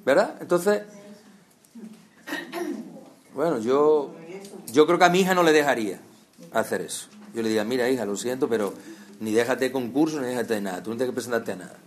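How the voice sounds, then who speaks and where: A man's voice: mid-range voice, quick pace. A man from preaching a conversational sermon, talking straight to his listeners with rhetorical questions.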